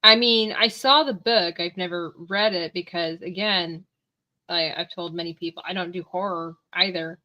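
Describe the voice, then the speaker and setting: Bright giggly woman with a Southern accent. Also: on the low side, moderate pace. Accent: Southern American. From Panama. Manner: giggly, animated, exclaims and laughs often. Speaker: livestream host. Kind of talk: casual chat.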